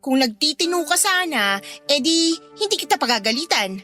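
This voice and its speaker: An actress playing a responsible, exasperated elder sister in a Filipino radio drama, reproachful and insistent. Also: female voice, high voice, sing-song, normal speed, over music.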